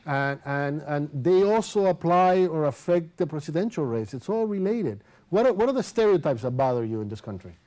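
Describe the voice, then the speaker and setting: Mellow, higher-voiced man moderating a discussion. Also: expressive, moderate pace, hardly any pauses. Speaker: interviewer leading a student discussion. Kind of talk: moderated group discussion, conversational.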